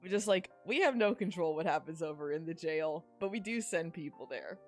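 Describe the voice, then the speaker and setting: A woman's voice: low voice, lively intonation, brisk. A woman chatting casually as a podcast co-host, making wry, jokey, rambling commentary over a video game. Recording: game music playing underneath.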